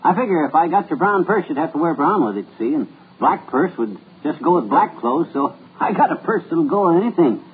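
Folksy, bragging radio-comedy husband, lively pitch, tinny old broadcast. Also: male voice, fairly quick, slight background noise. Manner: folksy, bragging comic patter. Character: boastful good-natured middle-aged husband.

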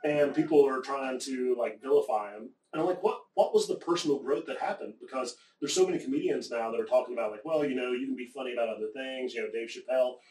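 A man from Appalachia in the American South talks in loose, rambling conversation, profane and quick to get animated. His voice sits in a middle register. The room is echoey, and he sounds a little far from the mic. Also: mid-range voice. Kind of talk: casual conversation.

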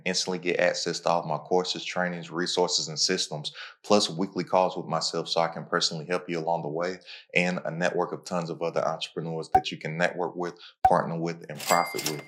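A man with a low-pitched voice hosts an entrepreneurship-style podcast. He talks informally and with slang, in a motivational manner, addressing listeners directly. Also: fairly bright tone, a little hiss or hum.

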